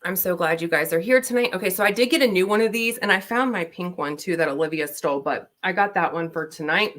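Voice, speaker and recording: Baltimore lady in her 30s doing makeup live, chatty and rambly, kinda low voice. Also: quick pace, a bit bright. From Maryland, USA. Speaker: beauty vlogger. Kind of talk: casual livestream chat. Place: home, at her desk with laptop. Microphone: laptop built-in mic.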